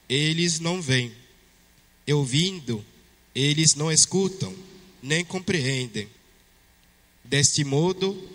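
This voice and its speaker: A man from Togo with a French-speaking West African accent, a priest reading the Gospel and preaching at Mass in a measured way. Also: on the high side, sharp tone. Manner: slow, measured liturgical delivery. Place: church. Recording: long church reverb.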